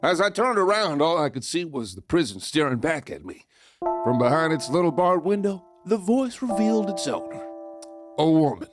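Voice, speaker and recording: Cocky Wild West outlaw with lively swings, acted out. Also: medium pitch, normal speed, music in the background, lightly acted. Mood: sly narrating. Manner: showy, folksy, playful swagger. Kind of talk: theatrical first-person storytelling, audio drama.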